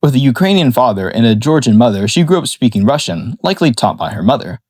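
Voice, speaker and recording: A man reading a scripted analysis of a song in a measured, explanatory way. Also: mid-range voice, big pitch swings, a little mellow, dry recording, clean studio sound. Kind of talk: scripted narration.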